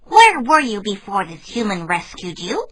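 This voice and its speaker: A man reading a storybook aloud, voicing a clever rabbit in a high, squeaky voice. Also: huge pitch swings, normal speed, a bit bright, heavily acted. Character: clever small rabbit judge.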